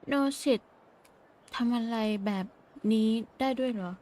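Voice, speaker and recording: A woman's voice: a little high, steady tone, very fast, mellow, slight background noise. A woman streamer reacting to the dialogue of a game as she plays. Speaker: gaming streamer. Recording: game music behind voice.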